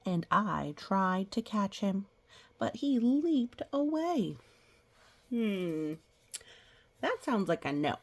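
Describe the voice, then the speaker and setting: A woman reading a children's picture book aloud, with playful sound effects and casual, gentle explanatory asides. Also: medium pitch, big pitch swings, takes it slowly, pauses often. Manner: explains gently to young listeners.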